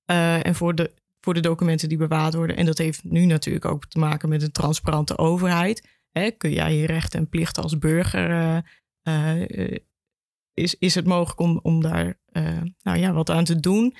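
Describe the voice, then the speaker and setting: Female archive educator, conversational interviewee. Creaky, fairly even delivery. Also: sits low, moderate pace, no echo, clean studio sound.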